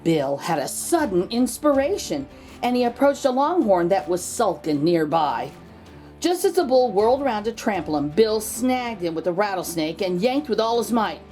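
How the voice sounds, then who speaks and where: A woman's voice: medium pitch, big pitch swings, moderate pace, a little mellow, faint music behind. A woman reading a children's picture book aloud expressively, drawing out key words for emphasis. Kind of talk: expressive storybook read-aloud.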